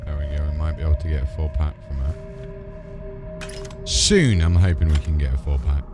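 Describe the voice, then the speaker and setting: A man's voice: rumbling low, normal speed. A British man giving casual, chatty gameplay commentary, thinking aloud in an informal way as a streamer. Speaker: video game streamer. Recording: game music behind the voice.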